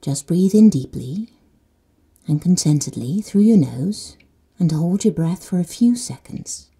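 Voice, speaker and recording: A woman guides a sleep meditation in a very mellow, breathy voice set slightly low. She speaks slowly and soothingly and sometimes drops to a hushed whisper. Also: expressive. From Netherlands. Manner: slow and soothing, dropping to soft whispers.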